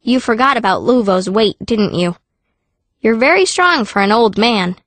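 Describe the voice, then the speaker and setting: A woman's voice: on the high side, big pitch swings, normal speed, a bit bright, no room sound. An actress voicing a fierce, blunt young girl mage who narrates an audiobook in the first person. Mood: blunt, exasperated. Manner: blunt, youthful.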